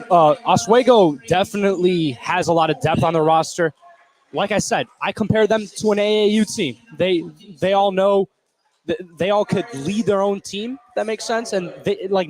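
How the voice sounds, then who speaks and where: A man with a fairly high voice gives casual live sports analysis as a color commentator. He speaks haltingly, doubling back on words while forming his thoughts. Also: poor audio. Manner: halting, repeats words as he thinks aloud.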